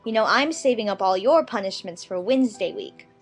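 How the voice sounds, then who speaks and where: A woman playing a lively, chattering little girl who mock-scolds her kitten in a dramatized children's storybook. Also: medium pitch, brisk, breathy edge, soft background music. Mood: playfully stern.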